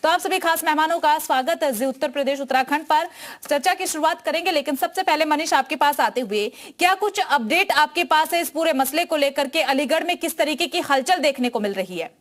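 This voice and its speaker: A woman's voice: high-pitched, brisk. A woman anchoring live TV news as debate host, emphatic and repeating phrases for stress.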